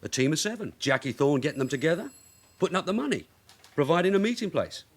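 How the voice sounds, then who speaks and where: An actor playing a shrewd veteran detective sergeant, wry and blunt, in a naturalistic radio drama. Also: male voice, on the high side, sing-song, fast talker. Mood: brisk, wry.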